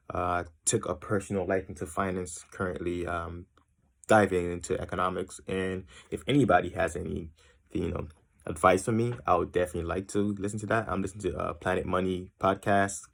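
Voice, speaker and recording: Deep, gravelly young man from Haiti. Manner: rambling and tangential, many ums and uhs. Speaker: student introducing himself to a class. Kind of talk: casual self-introduction.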